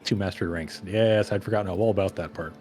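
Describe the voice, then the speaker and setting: A man chatting casually with friends while playing a co-op video game, wry and relaxed, reading menu items aloud. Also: low voice, quick pace. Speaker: co-op game player. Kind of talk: casual gaming chat. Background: faint game music.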